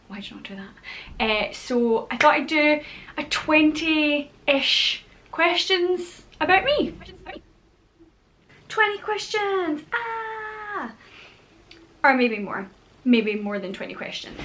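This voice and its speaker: A chatty Scottish woman in her thirties talks straight to camera in a casual vlog. Her voice sits a little high and sounds bright and forceful. She is bubbly and drops playful whispered asides. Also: lively intonation, slow, long pauses, slight background noise. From Scotland. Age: 30s. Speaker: vlogger.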